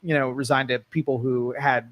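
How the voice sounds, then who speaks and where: A man's voice: mid-range voice, fairly even intonation, fairly quick, no room sound, dull audio. A man with an Ohio accent hosting a local talk podcast, talking casually in a rambling way. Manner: easygoing, rambling, with fillers and restarts. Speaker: podcast host. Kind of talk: casual chat.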